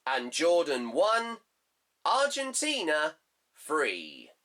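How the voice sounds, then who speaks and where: A man hosts a fan football show, his voice slightly hoarse. He reads out scores and match facts slowly, in a bright, tense, cutting tone. He halts often and leans on fillers as he reads from his notes. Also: fairly high pitch, huge pitch swings. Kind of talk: reading results and previewing a match.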